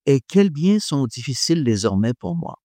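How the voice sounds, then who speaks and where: A man's voice: mid-range voice, sing-song, normal speed, a little mellow, dry recording, studio quality. A man gives a reflective talk on faith and philosophy to an audience, hesitating often and joking about himself. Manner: hesitant, many fillers, self-deprecating humour.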